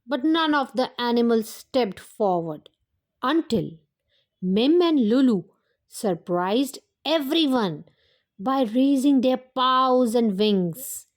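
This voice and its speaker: Slow, high-voiced woman telling a fairytale. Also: lively intonation. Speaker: storyteller. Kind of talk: scripted fairytale narration for children.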